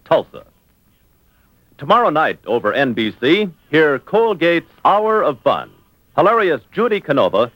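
A man's voice: a little high, fairly bright tone, no echo, poor audio. An American man announcing in scripted 1940s radio style.

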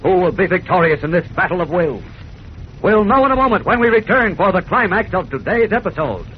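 A man's voice: high voice, very animated, brisk. A man narrating a 1940s radio serial in a dramatic, scripted style. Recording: muffled, faintly noisy 1940s radio recording.

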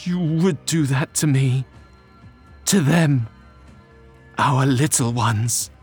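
A man's voice: mid-range voice, slow, slightly rough, soft background music. A man voicing a grieving, vengeful snow-spirit wife in an impassioned, accusing storytelling performance. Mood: wounded accusing. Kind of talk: dramatic storytelling.

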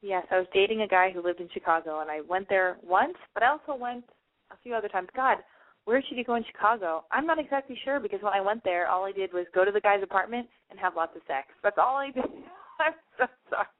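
A woman's voice: medium pitch, fast talker. A woman hosting a late-night call-in advice radio show, blunt and gushing, swearing freely. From German family. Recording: tinny phone-like audio.

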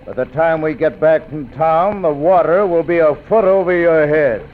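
A cold, ruthless bandit chieftain, played by a man, with a gruff, threatening drawl. His voice sits a little high. The sound is thin and tinny, like an old radio over a phone line. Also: moderate pace, music in the background, close to an everyday voice. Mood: menacing.

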